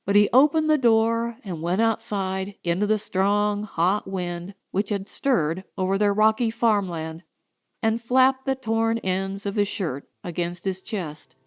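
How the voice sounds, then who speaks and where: A woman's voice: mid-range voice, measured pace, no room sound, clean studio sound. A woman reading scripted story narration as an audiobook narrator.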